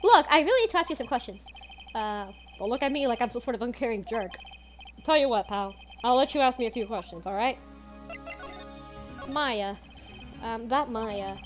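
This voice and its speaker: A woman voicing a gruff, blustery male police detective who keeps calling people "pal". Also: on the high side, animated, normal speed, long pauses, lightly acted, poor audio. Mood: brusque. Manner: brusque, calls people "pal". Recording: game music behind voice.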